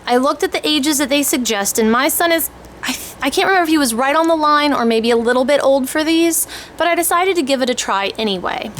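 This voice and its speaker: Higher-voiced woman, informal and hesitant. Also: lively intonation, brisk, fairly bright tone. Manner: informal, hesitant, thinking aloud. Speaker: homeschooling parent reviewing language kits. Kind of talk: casual product overview, explaining. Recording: clear background noise.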